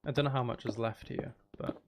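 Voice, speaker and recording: A man's voice: medium pitch, steady tone, a little fast, background noise. A man chatting casually and thinking aloud as he hosts a live game stream, talking to his viewers.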